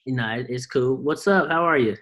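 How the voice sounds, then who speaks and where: A man's voice: medium pitch, moderate pace, a bit bright. A man hosting a team video call, talking in a loose, slangy way and firing off rhetorical questions to motivate the group.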